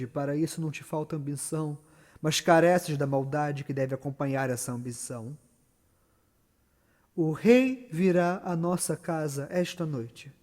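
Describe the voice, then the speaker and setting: A man's voice: on the high side, lightly acted. A man playing a ruthless, scheming noblewoman in a solemn, declamatory Shakespearean stage monologue. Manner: solemn, declamatory, swinging from hushed to loud. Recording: long reverberant hall echo.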